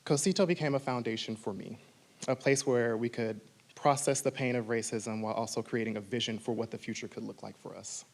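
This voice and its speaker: A man's voice: medium pitch, steady tone, fairly quick. A man delivering a prepared acceptance speech as an award recipient.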